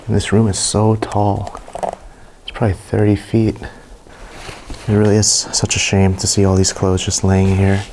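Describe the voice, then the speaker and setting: Low, flat-voiced man exploring an abandoned house. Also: moderate pace, slight background noise, low-quality recording. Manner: hushed and awed, reacting to what he finds. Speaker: urban explorer vlogger. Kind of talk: casual walkthrough narration to camera. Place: inside a large abandoned mansion.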